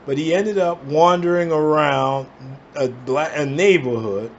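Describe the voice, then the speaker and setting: A man from the Bronx, ranting in emphatic bursts and tossing in "all right?" to keep his listeners with him. His voice sits a touch higher than most men's. The recording is dull and lo-fi. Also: a little slow, slight background noise. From the Bronx, New York. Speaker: vlogger. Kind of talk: angry commentary rant.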